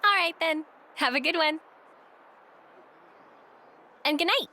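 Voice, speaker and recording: A high, bright voice gives us a breezy, outgoing young woman. She is casual and playful, teasing in an expressive video game style. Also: takes it slowly, exaggerated voice. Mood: friendly, reassuring. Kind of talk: video game cutscene acting.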